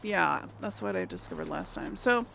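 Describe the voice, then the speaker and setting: A woman's voice: sits low, huge pitch swings, moderate pace, gravelly, fairly bright tone, slight background noise. A woman giving casual let's play commentary as host of a gaming series, chatty and thinking aloud as she plays. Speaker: host of a role-play video game series.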